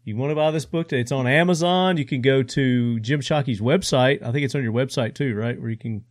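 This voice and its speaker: A man's voice: medium pitch, fairly quick, dry recording, studio quality. A man hosting a podcast, agreeable and affirming, chiming in with short remarks. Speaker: podcast host. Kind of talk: podcast conversation.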